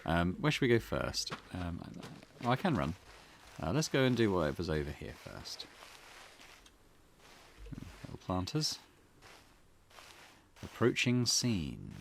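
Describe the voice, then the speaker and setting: Male gaming host, lowish voice, gravelly fry, casual, hesitant, thinking aloud, faint noise behind. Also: sing-song, a little slow, pauses often. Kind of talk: casual let's play commentary.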